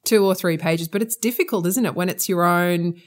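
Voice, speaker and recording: Australian woman interviewer, lower pitch, chatty and thoughtful. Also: brisk. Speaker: interviewer, lawyer. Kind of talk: interview questions.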